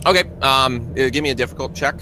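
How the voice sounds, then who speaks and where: Halting male game master over clear background noise. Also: mid-range voice. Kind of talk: improvised tabletop game narration.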